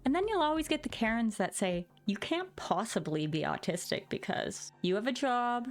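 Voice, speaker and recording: A woman's voice: fairly high pitch, very animated, moderate pace. A middle-aged woman doing a dramatic, sarcastic reading with asides, in a theatrical manner. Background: music behind the voice.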